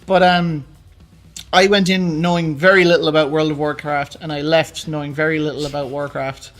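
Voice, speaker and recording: A man with a fairly high-pitched voice chats as a co-host. His delivery is casual and a little hesitant, as he works out his thoughts while speaking. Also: level tone, normal speed, a bit bright. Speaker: co-host of a film and games discussion show. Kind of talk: casual conversational banter.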